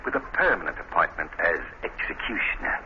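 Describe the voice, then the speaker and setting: An actor playing an obsessed, vengeful would-be executioner in old radio drama style, halting and melodramatic on a muffled recording. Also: male voice, low-pitched, sing-song, noisy background. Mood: eerily polite pride. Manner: melodramatic, halting, drawn-out emphasis. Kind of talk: 1940s radio drama acting.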